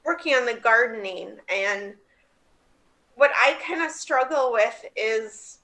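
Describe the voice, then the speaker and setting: A woman with a bright, fairly high voice speaks up on a video call. She is friendly and a bit hesitant, with light laughs. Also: takes it slowly, rough recording. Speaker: participant. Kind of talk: casual remarks on a video call.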